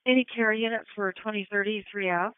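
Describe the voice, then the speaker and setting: A woman's voice: mid-range voice, fairly even intonation, fast, clear, resonant tone, no echo. A woman working as a police dispatcher, speaking in terse, procedural radio calls heard over a scanner. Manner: clipped, procedural. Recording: phone-like narrow-band police radio.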